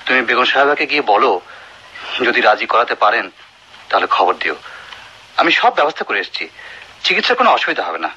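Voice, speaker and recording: Fast, forceful doctor. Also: male voice, medium pitch, big pitch swings, a little hiss or hum. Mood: insistent. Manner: firm, urgent. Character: earnest, insistent male doctor. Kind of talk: Bengali radio drama acting. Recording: dull lo-fi radio drama recording.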